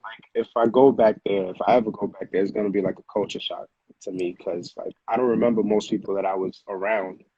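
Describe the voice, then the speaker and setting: Deep, husky New man, casual. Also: fairly even intonation, fast, hardly any pauses, warm tone, no echo, dull audio. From Honduras, moved to the Bronx at eight. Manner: slangy, repeats phrases. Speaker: music producer, interview guest. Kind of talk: casual conversational interview.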